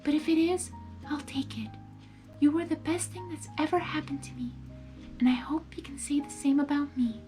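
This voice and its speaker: A woman voicing a gentle female pegasus pony in a storybook reading, soft, breathy and tender, nearly whispering. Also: sits high, fairly even intonation, normal speed, soft background music, pitched above the performer's own voice, close to an everyday voice.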